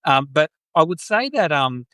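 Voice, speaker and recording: A man's voice: a little high, big pitch swings, normal speed, pushed and bright, clear, resonant tone, no room sound, studio quality. A man with an Australian accent hosting a podcast, talking casually about politics with frequent restarts. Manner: conversational, frequent restarts and agreeing. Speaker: podcast host.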